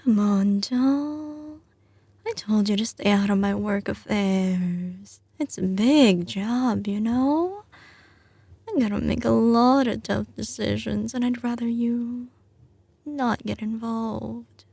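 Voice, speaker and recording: Woman playing a slow-drawling Southern mafia boss girlfriend. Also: on the high side, vocal fry, warm tone, slightly rough, no room sound, full character voice. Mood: sweet, coaxing. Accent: slight Southern US drawl. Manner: drawling, teasing, sliding between commanding and tender. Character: doting but ruthless young female mafia boss girlfriend. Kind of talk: ASMR audio roleplay, intimate scripted acting.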